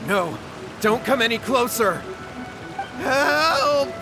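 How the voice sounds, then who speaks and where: A man's voice: very high-pitched, lively intonation, slow, over music, heavily acted, rough recording. A man playing a cowardly, evasive young townsman in an anime video game, stammering and flustered. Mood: panicked.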